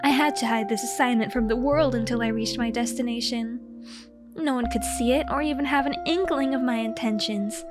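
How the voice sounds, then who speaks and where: A woman narrating a scripted comic diary in a theatrical, mock-serious and earnest way. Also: a little high. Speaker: storyteller, content creator. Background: music.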